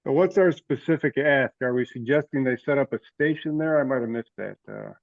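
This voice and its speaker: A man's voice: mid-range voice, a little fast, gravelly. A man with a mid-range voice speaks over a thin, phone-like connection. He is commenting and asking questions in an informal work meeting. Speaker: meeting participant giving feedback. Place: online conference call. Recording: tinny, narrow phone-like call audio.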